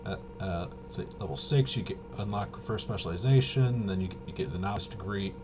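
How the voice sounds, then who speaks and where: A man with a fairly low voice talks casually through game rules as a reviewer. He is halting and mumbles as he half-reads. The recording has clear noise behind him. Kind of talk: casual off-the-cuff rules walkthrough. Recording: clearly noisy home recording.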